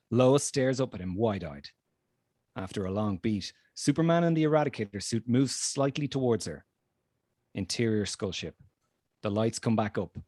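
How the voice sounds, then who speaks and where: A man's voice: on the low side, a little mellow, no room sound, clean studio sound. A man narrating the stage directions of a film script in a table read.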